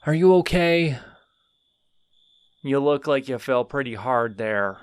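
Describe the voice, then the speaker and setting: Guy doing a sheepish friendly skeleton, slow and rambly, dry close mic. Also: medium pitch, lively intonation, clear, resonant tone, no echo. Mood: helpful. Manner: rambling, sheepish, over-apologetic. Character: friendly awkward male skeleton, lonely and eager. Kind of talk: audio roleplay to a listener.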